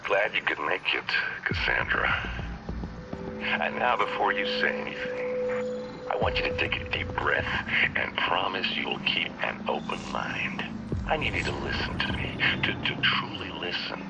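An actor playing a calculating rogue agent in a video game, coaxing and deliberate, with theatrical pauses. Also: male voice, very animated, whispered, exaggerated voice, rough recording. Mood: coaxing, hushed. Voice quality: gravelly, breathy low voice. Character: calculating rogue agent, smooth-talking male villain. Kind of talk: video game cutscene acting.

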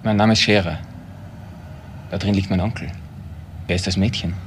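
A man's voice: sits low, steady tone, moderate pace, slight background noise, close to an everyday voice. A man playing an impatient, questioning nephew of a patient in a crime drama, with a blunt manner. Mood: indignant.

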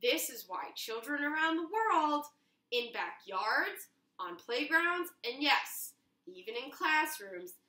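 A woman's voice: high-pitched, very animated, takes it slowly, pushed and bright. A young woman reading a storybook aloud expressively for children, indoors in a kitchen, recorded from across the room. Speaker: children's read-aloud teacher. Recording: roomy echo, mic at a distance.

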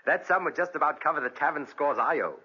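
Bright-voiced, flippant gentleman rogue. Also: a little high, lively intonation, quick pace, slightly rough, full character voice. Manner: breezy, sardonic. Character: flippant, worldly spendthrift gentleman in debtors' prison. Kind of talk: 1940s radio drama acting. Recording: muffled 1940s radio recording.

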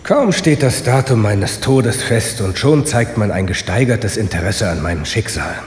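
An actor plays a condemned man in a radio drama, bitter and worn out. He is articulate and sardonic, with an animated intonation. The sound is echoey, with clear noise behind him. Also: lowish voice, moderate pace, natural voice. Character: bitter, resigned condemned prisoner, weary of life. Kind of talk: German radio play acting.

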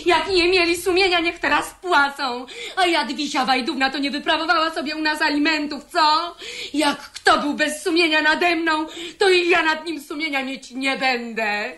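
Wronged young servant for radio drama; high-pitched, bitter, plain-spoken and unbowed. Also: female voice, normal speed, pushed and bright. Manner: blunt, defiant, folksy. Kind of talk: Polish radio theatre acting.

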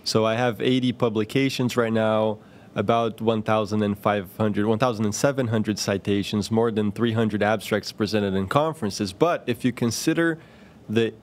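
A man in his thirties hosting a podcast, explaining things in a clear, orderly and emphatic way into a close studio microphone. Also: fairly low pitch, steady tone, normal speed. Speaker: podcast host, cardiologist. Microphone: close desk microphone on boom arm.

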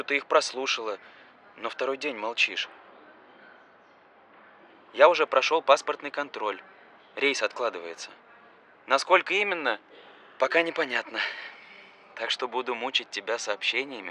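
A man's voice: mid-range voice, animated, normal speed, long pauses, sharp tone, slight background noise. An actor playing an anxious, pleading adult son, insistent and intimate, in a scripted voice-message monologue to his mother. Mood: worried, insistent. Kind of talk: scripted audio film acting, voice-message monologue.

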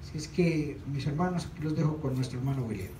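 A man's voice: medium pitch, animated, brisk, background noise. A middle-aged man speaking earnestly to a small church congregation before the offering, reading scripture aloud. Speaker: church leader introducing the offering. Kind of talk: church exhortation. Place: small church stage, indoors. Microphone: handheld mic from a far camera. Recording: echoey room, far from the mic.

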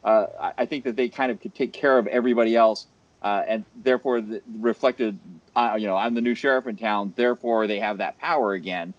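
A man's voice: on the low side, fairly even intonation, brisk, dry recording. A man on a headset at home moderates a video call panel. He rambles through long questions full of restarts and fillers. The call audio sounds dull and muffled. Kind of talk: casual panel discussion. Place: home room. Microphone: headset over video call.

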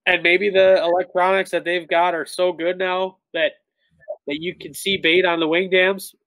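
A man in his thirties, a tournament bass angler, explaining things in a relaxed way full of "like" fillers over a video call. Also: normal speed, vocal fry, no room sound, rough recording. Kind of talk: casual interview talk. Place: indoor room with shelves of tackle. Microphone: webcam on a video call.

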